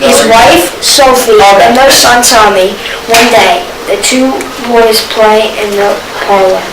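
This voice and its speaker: A female student performer reading a script aloud in a careful, halting way. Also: a little high. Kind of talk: scripted readers theater, read aloud. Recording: noisy echoey room, distant mic.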